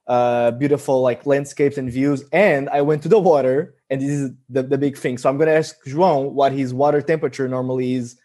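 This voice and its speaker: A man's voice: medium pitch, steady tone, fairly quick, hardly any pauses, dark tone. A young man co-hosting a podcast over a video call, chatty and easygoing as he tells a travel story. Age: young adult. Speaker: podcast co-host. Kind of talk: casual chat.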